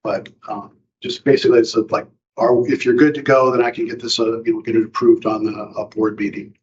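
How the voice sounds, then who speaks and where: A man from county staff presents at an informal board meeting, stopping and restarting his sentences and leaning on fillers. His voice sits at a middle pitch. The recording of the meeting room sounds dull and lo-fi. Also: level tone, a little mellow. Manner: halting, many fillers and restarts.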